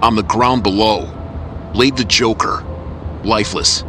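Low-voiced male drama narrator over loud music. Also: a little slow. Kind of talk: scripted dramatic story narration. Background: loud music, action sound effects.

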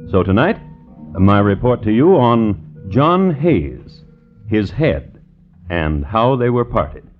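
A low-pitched man narrates crime stories for vintage radio. His manner is dry and droll, deliberate yet lively in intonation. The old recording is muffled, with music behind him. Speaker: host and narrator.

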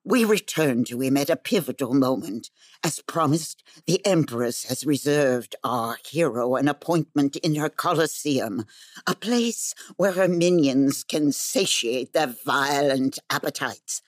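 Woman as a low-voiced, sardonic storyteller. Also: expressive, measured pace, no echo, heavily acted. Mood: ominous storytelling. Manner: dry, sardonic, theatrical. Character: sardonic, haughty female storyteller narrator. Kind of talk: scripted fantasy narration.

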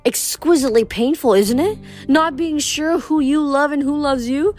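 A woman's voice: sits high, a little mellow, faint music behind, pitched above the performer's own voice, lightly acted. A woman voicing a vain, gushing goddess of love who drawls and coos dramatically. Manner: breathy, drawn-out, dramatic gushing.

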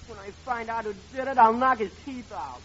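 Upset teen american son, high voice, muffled old radio drama. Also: male voice, expressive, brisk, fairly bright tone. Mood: angry. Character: hot-headed, wounded teenage American son. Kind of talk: 1940s radio comedy acting. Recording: muffled vintage radio recording.

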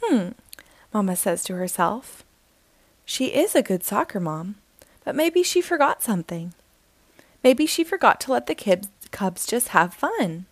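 A woman hosting a storytime, reading a children's picture book aloud slowly and expressively. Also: medium pitch, no room sound. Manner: slow, expressive, sing-song reading.

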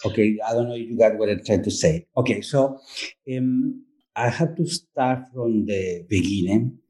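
A man from Chile with a Chilean Spanish accent, a native Spanish speaker, speaking as an author in a podcast interview, halting and searching for words. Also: on the low side, dark tone, poor audio. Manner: halting, searching for words, frequent "you know what I mean". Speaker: author and performer, guest.

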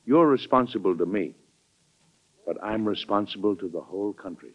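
A man's voice: lowish voice, moderate pace, close to an everyday voice. An actor playing a weary but firm, fatherly American president in an old-time radio drama, speaking earnestly with authority and occasional hesitation. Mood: stern, exasperated. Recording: muffled vintage radio drama recording.

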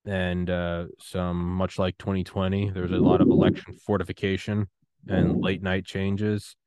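A man's voice: low voice, flat delivery, normal speed, a little mellow, no room sound. A man hosting a podcast interview, talking in a crude, joking way. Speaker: podcast host.

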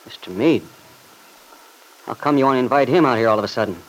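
An actor playing a moody, boyish young Italian-American husband in a classic radio drama, plain-spoken and grudging. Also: male voice, mid-range voice, fast talker, natural voice. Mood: uneasy, subdued. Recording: noisy old radio recording.

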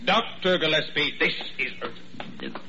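A self-important, fussy medical administrator from old radio drama. His voice is forceful and animated, with pompous phrasing. The sound is dull and faintly hissy. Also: on the high side, unhurried, exaggerated voice. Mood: fussy urgent. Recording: muffled, hissy vintage radio recording.

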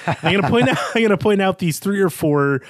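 A man's voice: high voice, expressive, brisk, dry recording, clean studio sound. A man co-hosting a literary discussion podcast, talking in an analytical, explanatory way. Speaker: podcast co-host.

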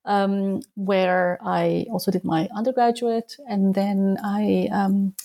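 Gravelly, mellow woman. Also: mid-range voice, little pitch movement. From Turkey. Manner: halting, searching for words. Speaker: guest, human rights researcher and author. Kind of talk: interview answer.

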